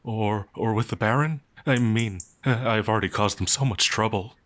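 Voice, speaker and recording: Man playing a timid, low-voiced gentle werewolf. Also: moderate pace, no echo, lightly acted. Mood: anxious, apologetic. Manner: hesitant, stammering, apologetic, flustered. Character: gentle, timid, anxious male werewolf outlaw, easily frightened. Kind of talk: ASMR fantasy audio roleplay.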